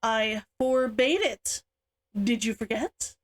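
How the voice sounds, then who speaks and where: A woman's voice: fairly high pitch, slow. Woman reading as a stern, domineering older sister. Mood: stern, angry.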